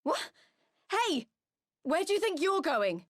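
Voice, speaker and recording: A stubborn young game heroine with a very high, swooping voice. She is blunt, fiery and devoted, delivering lines with raw dramatic passion in a dry recording. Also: sing-song, slow, dry recording, heavily acted. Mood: alarmed. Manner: blunt, impassioned. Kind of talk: dramatic video game cutscene acting.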